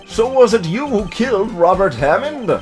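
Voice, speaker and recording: An earnest young defense lawyer, played by a man, sounds questioning and easily startled. The pitch is lively, with music in the background. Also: moderate pace.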